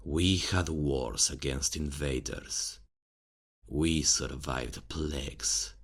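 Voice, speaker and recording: A man playing a grave knight in a fantasy audio roleplay, speaking in a solemn, formal and deliberate way. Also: rumbling low, husky, exaggerated voice. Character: grave knight reporting war to the royal council.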